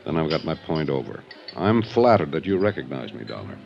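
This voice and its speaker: An actor playing a weary, wry ex-bootlegger in his fifties in a radio drama, musing slowly with pauses. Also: extremely low pitch, big pitch swings, vocal fry, heavily acted. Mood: earnest, guarded. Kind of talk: 1950s radio drama acting. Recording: muffled 1950s radio recording with music.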